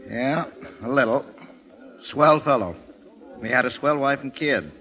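An actor playing a gruff but decent demoted detective, terse and downbeat, in a 1930s radio drama. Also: male voice, mid-range voice, unhurried, bright, forceful tone, muffled recording. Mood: weary, guarded. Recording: vintage radio recording, long reverb, faint music.

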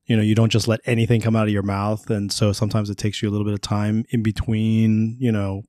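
A man's voice: on the low side, little pitch movement, fast, warm tone, no room sound, studio quality. A man in his thirties co-hosting a podcast in casual chat, talkative and reassuring, often laughing. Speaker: podcast co-host.

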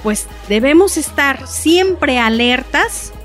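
High-voiced woman giving parenting advice. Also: animated, slow, fairly bright tone, soft background music. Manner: plain, explanatory, uses "pues" fillers. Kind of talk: informational talk.

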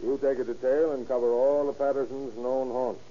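Man playing very dark-voiced commanding sheriff. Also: mid-range voice, little pitch movement, moderate pace, natural voice. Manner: authoritative, clipped orders. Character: brisk, commanding county sheriff giving orders to deputies. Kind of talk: 1930s radio crime drama acting. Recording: muffled old radio recording.

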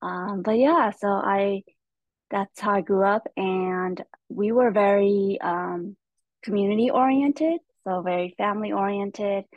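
A young woman from California telling her life story as a podcast guest, soft-spoken and hesitant with many pauses. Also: medium pitch, no echo, muffled recording. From Stockton, California.

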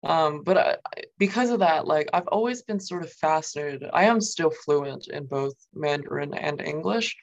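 Young New York woman, gravelly voice, rambling interviewee, slightly dull lo-fi sound. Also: low voice, expressive, moderate pace. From New York, USA. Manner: rambling, many fillers and "like". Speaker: guest poet. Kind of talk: casual interview.

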